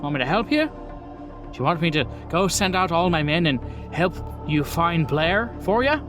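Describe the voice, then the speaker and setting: A man playing a gruff, foul-mouthed mob boss with a blunt, commanding, bargaining manner in a tabletop game, over faint music. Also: fairly high pitch, lively intonation, normal speed, close to an everyday voice. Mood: irritated, confrontational.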